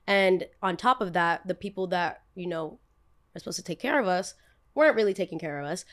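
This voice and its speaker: A woman's voice: fairly low pitch, fairly quick, a bit bright. Blunt young New Jersey woman chatting. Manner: blunt, emphatic, slangy, acting out quotes. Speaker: podcast host, content creator. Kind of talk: casual podcast chat.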